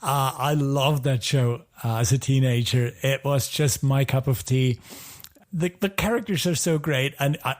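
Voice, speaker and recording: Middle-aged male co-host, medium-pitched, keen and easily amused, relaxed conversational podcast style. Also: unhurried. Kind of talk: casual chat about TV shows.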